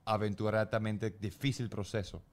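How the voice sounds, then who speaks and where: A man's voice: on the low side, little pitch movement, normal speed. A man co-hosting a parenting podcast in an easygoing, jokey manner. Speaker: podcast co-host. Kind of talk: casual podcast chat.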